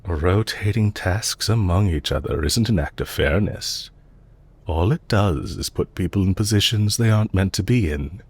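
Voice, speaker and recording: Guy acting as a genteel villain boss, very low husky voice, polite n measured, audio roleplay. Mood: calm, mildly chiding. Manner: polite, measured, formal, softly commanding. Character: courteous villain leader, calm, genteel, solicitous captor. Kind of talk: scripted roleplay audio drama.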